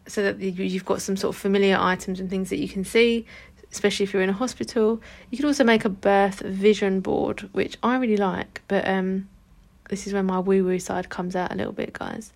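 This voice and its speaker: Croaky, gravelly-voiced woman. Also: mid-range voice. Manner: chatty, informal, swears casually. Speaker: podcast host and hypnobirthing teacher. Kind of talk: casual solo podcast talk.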